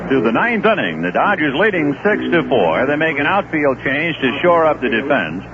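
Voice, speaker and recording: A man calling a baseball game live on radio, with relaxed, conversational play-by-play and chatty asides. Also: a little high, normal speed, music in the background. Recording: dull old radio broadcast with noise.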